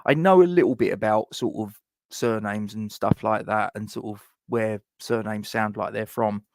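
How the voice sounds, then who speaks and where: A man's voice: fairly low pitch, normal speed, dry recording, studio quality. A middle-aged man hosts a casual live chat, reading viewer comments and rambling with lots of fillers and restarts.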